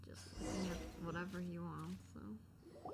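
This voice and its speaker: A woman's voice: lowish voice, steady tone, a little slow, gravelly. Casual woman gamer over noisy game audio. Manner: slangy, muttering short reactions. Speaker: gaming streamer. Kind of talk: casual reaction commentary. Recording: noisy gameplay audio behind voice.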